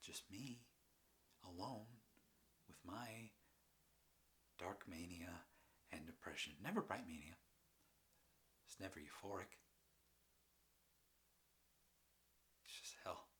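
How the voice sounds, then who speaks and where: A man performing a halting, rambling confessional monologue as an anguished, troubled man, with sighs and bitter emphasis. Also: deep voice, a bit bright. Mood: weary, despairing. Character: anguished, mentally ill man confessing and defending his drinking. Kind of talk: dramatic stage monologue, confessional.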